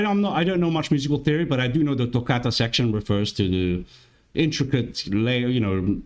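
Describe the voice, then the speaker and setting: This is a man with Italian as his first language, hosting a music reaction channel with relaxed, chatty commentary. His pitch swings widely as he muses through his thoughts. He often breaks off and restarts sentences. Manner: chatty and musing, with frequent restarts.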